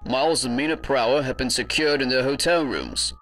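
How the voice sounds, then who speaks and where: A man voicing a dutiful henchman who reports formally in an animated comic dub. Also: mid-range voice, brisk, faint music behind, close to an everyday voice. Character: dutiful male henchman in animated fantasy villain's service.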